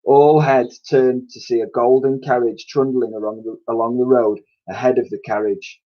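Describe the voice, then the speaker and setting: A man's voice: mid-range voice, steady tone, normal speed, dull audio. A man reading a children's storybook aloud expressively, like a teacher addressing his pupils.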